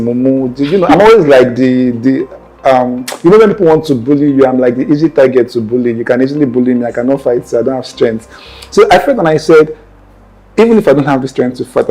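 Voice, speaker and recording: A man's voice: medium pitch, dark tone, rough recording. A young man with a Nigerian accent, telling his life story as an interview guest in an earnest, meandering way that often circles back to correct himself. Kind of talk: personal storytelling in conversation.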